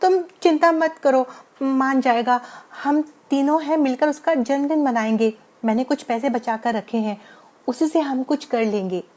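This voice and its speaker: Doting village mom, acted by a woman, high and mellow, coaxing, affectionate, storybook style. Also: moderate pace, natural voice. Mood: reassuring. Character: poor, loving, soothing village mother.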